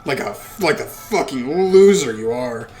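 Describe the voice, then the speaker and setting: A man reading as a gentle young girl witch. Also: mid-range voice, animated, a little slow, soft background music, lightly acted. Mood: coaxing.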